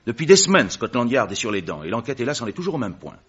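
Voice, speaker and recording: A man's voice: mid-range voice, sing-song, fast, fairly bright tone, slightly rough. An actor playing an anxious man who earnestly pleads for help for his brother in a vintage radio drama. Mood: pleading. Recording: dull old radio recording.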